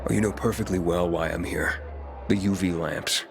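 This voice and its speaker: An actor playing a blunt, determined adventurer in a video game, speaking in a direct, plain way. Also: male voice, sits low, steady tone, moderate pace, vocal fry, over music. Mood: firm.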